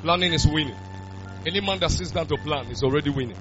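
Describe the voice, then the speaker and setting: A man, preaching a sermon with loud bursts of emphasis. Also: a little high, fast. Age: 20s. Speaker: pastor. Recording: dull lo-fi sound with music.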